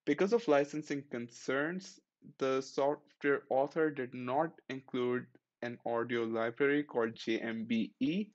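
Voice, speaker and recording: Guy narrating a screen tutorial, mid pitch, kinda dull lo-fi sound, just walks you through the clicks. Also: measured pace. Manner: plain step-by-step instructions, friendly and matter-of-fact. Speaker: tech tutorial host. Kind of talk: screen-recorded software tutorial.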